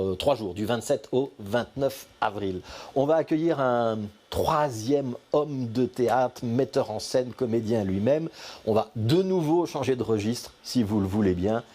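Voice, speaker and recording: A man hosting a TV studio interview, asking quick, conversational questions. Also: medium pitch, mellow. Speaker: TV show host.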